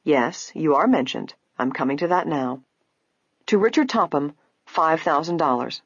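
Calm polite lawyer man lady reading him, formal n even, storybook, roomy muffled sound. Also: low voice, moderate pace, husky, full character voice. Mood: measured reading. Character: calm, courteous male lawyer, formal and measured.

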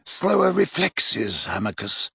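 Calm elderly headmaster wizard, measured and gentle, read by a man. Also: lowish voice, creaky, slightly rough, no room sound, clean studio sound, full character voice. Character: old male wizard headmaster, calm and weary.

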